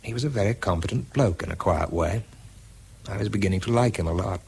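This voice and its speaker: An actor with a British accent playing a wry, self-deprecating wartime bomb expert in a radio drama, dry and understated. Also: male voice, low-pitched, fast, creaky, a little mellow, breathy edge, full character voice. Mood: reflective. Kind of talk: radio drama narration and dialogue.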